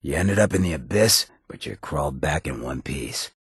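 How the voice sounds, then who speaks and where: A sardonic ghoul outlaw from a video game, with a heavily rasping, creaky voice. He is sly, laid-back and streetwise, a swaggering town boss who talks in slang. The sound is close and dry. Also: a bass voice, lively intonation, normal speed, no room sound, heavily acted. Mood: cool, approving. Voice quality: gravelly, raspy. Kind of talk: video game companion voice lines.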